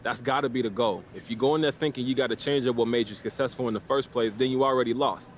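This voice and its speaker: A man's voice: medium pitch, fast, a little hiss or hum, natural voice. A man playing a confident young pro basketball rookie in a video game, talking in a casual, slangy, self-assured way. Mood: earnest, assured. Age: young adult.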